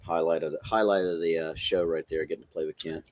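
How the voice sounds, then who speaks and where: A man's voice: rumbling low, fairly even intonation, fast. A middle-aged man hosting a podcast, chatting casually about toys in an informal, joking way. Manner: jokey, informal, swearing. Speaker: podcast host.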